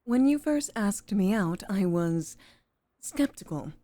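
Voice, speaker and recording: Slow, soft-spoken, composed maid girlfriend played by a woman. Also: mid-range voice, lively intonation, no room sound. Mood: earnest, vulnerable. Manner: soft, measured, formal, often dropping toward a whisper. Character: composed, formal head maid, softly devoted new girlfriend off duty. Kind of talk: ASMR girlfriend roleplay, intimate and scripted.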